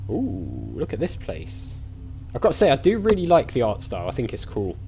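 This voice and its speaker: A man's voice: mid-range voice, wildly swooping pitch, normal speed, gravelly, mellow. A man giving casual let's play commentary on an adventure game, chatty and musing aloud as he goes. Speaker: gamer playing an adventure game. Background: game music.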